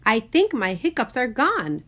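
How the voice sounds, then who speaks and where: Woman playing an excitable high-pitched young boy. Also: measured pace, full character voice. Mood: excited, relieved. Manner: exclamatory, dramatic. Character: young boy plagued by hiccups, eager, excitable.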